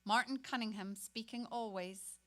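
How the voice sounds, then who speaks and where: A woman's voice: on the high side, steady tone, normal speed, fairly bright tone. A woman reading narrative passages of a novel in a staged dramatic reading.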